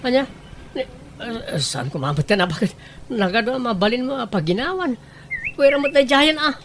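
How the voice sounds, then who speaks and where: A man's voice: very high-pitched, animated, normal speed, a bit bright, a little hiss or hum. A man playing an exasperated but doting husband who fusses and protests over his precious rooster, in a comedic radio drama. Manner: fussy, questioning, protesting.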